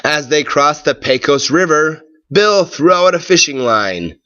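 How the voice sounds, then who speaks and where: A man reading a storybook aloud with expressive, deliberate, dramatic phrasing. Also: fairly high pitch, lo-fi sound.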